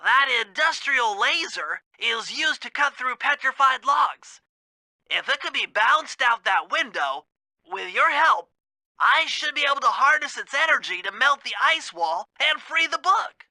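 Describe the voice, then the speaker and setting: A man's voice: very high-pitched, sing-song, a little slow, pushed and bright, no room sound, heavily acted. A man voicing a fussy, brainy gadget expert in a video game, speaking in a precise, formal, instructive way. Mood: earnest, instructive. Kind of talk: video game mission dialogue.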